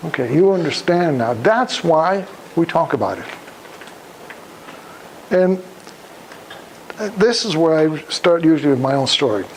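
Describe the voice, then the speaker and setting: Older man, deliberate speaker, noisy recording. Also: a little high, lively intonation. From Krakow, Poland. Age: over 75. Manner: deliberate, halting phrases with rhetorical questions. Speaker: guest speaker, Holocaust survivor. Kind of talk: public talk with questions to the listeners. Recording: noisy live room recording.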